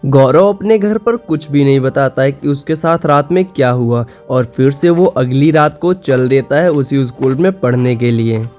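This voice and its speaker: A man's voice: medium pitch, moderate pace, hardly any pauses, a little mellow. A man narrating a scripted cartoon story as its storyteller. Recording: music behind the voice.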